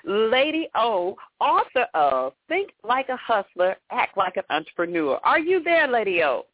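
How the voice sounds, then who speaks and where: A woman's voice: fairly high pitch, very animated, unhurried, no room sound. A woman hosting a radio interview show, gushing with enthusiasm and praise as she welcomes her guest. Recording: tinny phone-line sound.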